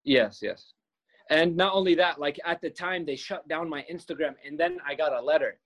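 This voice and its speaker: Young man from Palestine, podcast guest, earnest storyteller, slightly high voice. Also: normal speed, fairly bright tone. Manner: earnest, restarts words often. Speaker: filmmaker guest.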